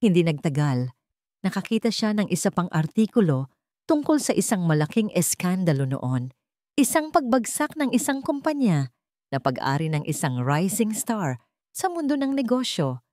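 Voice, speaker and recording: Filipina storyteller, low voice, dry sound. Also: female voice, sing-song, moderate pace, dark tone, no echo. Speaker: story narrator. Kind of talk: scripted dramatic audio story narration.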